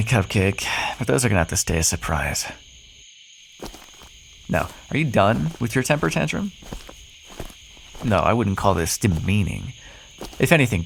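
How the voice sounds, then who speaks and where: A man's voice: lowish voice, creaky, a little hiss or hum, low-quality recording. A man playing a cocky, flirtatious, sardonic vampire in an ASMR roleplay, teasing the listener with pet names, sarcasm and swearing. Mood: sardonic, amused.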